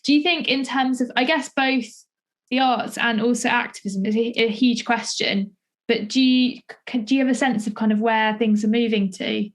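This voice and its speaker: A woman's voice: fairly high pitch, fairly even intonation, normal speed. A woman in her twenties with a southern English accent, chatting casually as an interviewer, feeling her way through her sentences. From Essex, England. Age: 20s. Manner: hesitant, searching phrasing with many hedges. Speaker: podcast interviewer and playwright.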